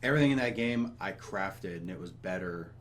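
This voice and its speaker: A man's voice: sits low, expressive, fairly quick, slight background noise. A man chatting casually about games as a podcast host, complaining bluntly and sardonically with plenty of swearing. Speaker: video game podcast host.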